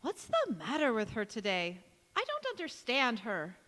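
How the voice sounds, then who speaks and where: A woman's voice: on the high side, wildly swooping pitch, moderate pace, a bit bright. A woman playing a bitter, disapproving female cousin with a tart, cutting delivery in a stage radio play. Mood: puzzled, critical. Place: large hall, live stage performance. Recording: echoey hall with long reverb.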